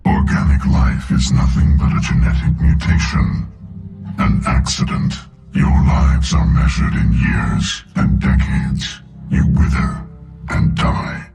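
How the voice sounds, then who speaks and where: Godlike game villain machine: extremely deep, grinding, contemptuous, echoing. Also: male voice, creaky, full character voice. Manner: grandiose, slow declarations, cold and contemptuous. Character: ancient godlike sentient machine, arrogant, menacing destroyer of organic life. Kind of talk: video game villain voice acting. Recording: echoing, loud music behind.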